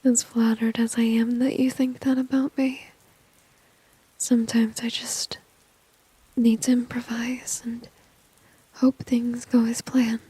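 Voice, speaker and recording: A woman's voice: on the high side, little pitch movement, takes it slowly, long pauses, mellow, slightly rough, dry recording, full character voice, poor audio. A woman playing a flirty, dominant, confident villain in an ASMR roleplay, whispering breathily and teasingly close to the microphone. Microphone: close microphone, dry.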